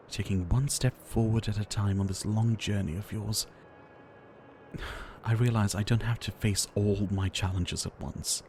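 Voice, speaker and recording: A man playing a gentle, ailing pharmacist in an ASMR roleplay, soft-spoken and courteous, with wistful, theatrical phrasing. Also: lowish voice, slight background noise. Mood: earnest, hopeful. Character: gentle, ailing male pharmacist, courteous, earnest, devoted healer. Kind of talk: ASMR roleplay addressed to the listener.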